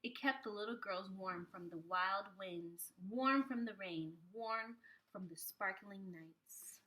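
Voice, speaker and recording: A woman reading a picture book aloud to young children like a preschool teacher, with expressive, animated phrasing. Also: medium pitch, slow pace, rough recording.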